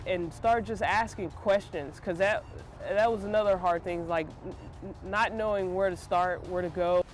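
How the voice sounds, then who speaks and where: Brief: man firefighter, high voice, earnest advice, faint music behind. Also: steady tone, moderate pace. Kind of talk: interview testimonial. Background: soft music.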